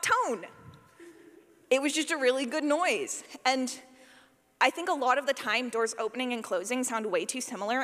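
Woman, high-pitched voice, casual presenter, playful, self-deprecating, conversational, long hall reverb. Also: fairly bright tone. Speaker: sound designer giving a workshop. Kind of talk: casual, conversational technical talk.

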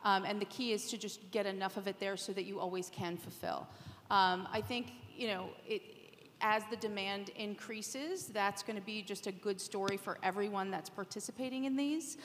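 A woman's voice: medium pitch, steady tone, normal speed, fairly bright tone, slight background noise. A woman speaking off the cuff on a conference panel, explaining things in an informal way. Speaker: panelist, media executive. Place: conference hall, live panel. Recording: echoey hall with long reverb.

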